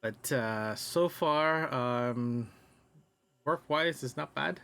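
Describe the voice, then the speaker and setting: Halting man rambling casually. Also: mid-range voice, vocal fry, fairly bright tone. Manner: halting, rambling, thinking aloud. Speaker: podcast host. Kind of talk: casual audio diary.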